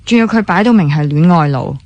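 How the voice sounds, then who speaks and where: In very dry sound, an actress plays a blunt young woman with a slightly low voice. She speaks conversational Hong Kong Cantonese as a sceptical confidante in a radio drama. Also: expressive, fairly quick, darkish tone, dry recording, close to an everyday voice. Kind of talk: naturalistic radio drama dialogue.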